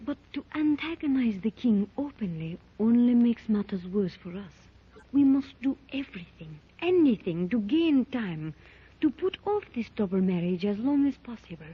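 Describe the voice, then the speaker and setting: A composed, diplomatic captive woman in an adventure serial. Her voice is very husky and moves dramatically up and down. She speaks in the earnest 1930s radio manner, on a dull, aged recording. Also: on the high side, sing-song, exaggerated voice. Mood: earnest counsel. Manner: earnest, measured, theatrical.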